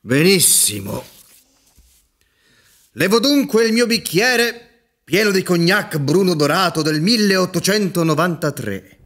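An actor playing a ceremonious, pompous judge of a private court in a radio drama. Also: male voice, high-pitched, very animated, takes it slowly, long pauses, a bit bright, full character voice. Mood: solemn, grandiose.

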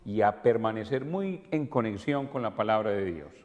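An older man, a priest, celebrating Mass at a church altar in calm, measured liturgical prayer. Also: on the low side, highs cut off. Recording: long church reverb.

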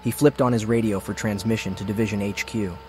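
Low-voiced man narrating an audiobook. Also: fairly even intonation, quick pace, a little mellow, slight background noise. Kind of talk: scripted fiction narration.

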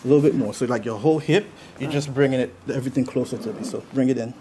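Male chiropractor, reassuring and casual, talking a patient through treatment, medium pitch, recorded in a noisy room. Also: very fast, mellow. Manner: reassuring, coaxing. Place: treatment studio with hard floor, indoors.

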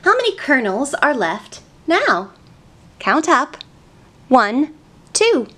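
A woman's voice: high-pitched, sing-song, slow, a bit bright. A young woman hosting a children's storytime, explaining things simply in a sing-song way to small children.

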